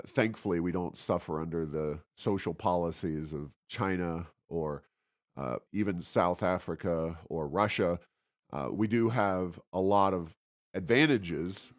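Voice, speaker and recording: A man's voice: low voice, dry recording, studio quality. A man hosting a talk podcast, giving unscripted political and economic commentary in a discursive, argumentative manner.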